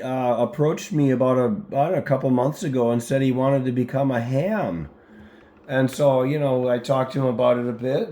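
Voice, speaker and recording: An American man talking casually as an amateur radio operator, halting and conversational, with formal call-sign phrasing. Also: mid-range voice, warm tone. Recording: roomy echoing sound.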